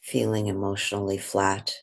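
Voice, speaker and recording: A man leading a guided meditation in a gentle, unhurried way, with long pauses between phrases. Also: fairly low pitch, monotone, creaky.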